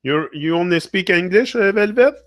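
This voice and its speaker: A Québécois man, a native French speaker, chats casually on his livestream, answering viewers as he talks. His voice sits a little high and sounds close and dry, with no room around it. He slides between English and French mid-sentence, tagging his phrases with a French "là". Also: lively intonation, normal speed, no echo. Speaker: livestream host. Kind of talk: casual live-stream chat reading comments.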